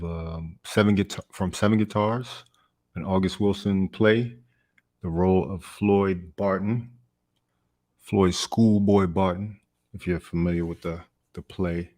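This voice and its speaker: A man's voice: deep voice, slow pace, darkish tone. A man chatting casually as an auditioning actor on a live stream. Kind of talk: casual chat.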